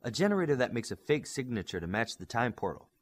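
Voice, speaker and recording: An earnest young superhero tech expert, voiced by a male reader in an audiobook. The delivery is methodical and explanatory, slightly husky, with lively pitch. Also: fast talker. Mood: explaining.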